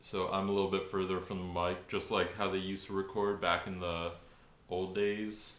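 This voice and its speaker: A young man co-hosting a podcast, chatting casually in a loud, rambling, jokey way. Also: low voice, level tone, normal speed. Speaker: podcast co-host. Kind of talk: casual chat. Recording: echoey room.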